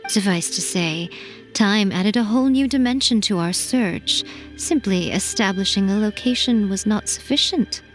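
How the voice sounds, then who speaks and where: An actress playing a wise, self-assured fox shrine maiden in a video game, eloquent and formal with a playful edge. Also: female voice, medium pitch, moderate pace, close to an everyday voice. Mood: explaining, composed. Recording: faint music behind. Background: faint music.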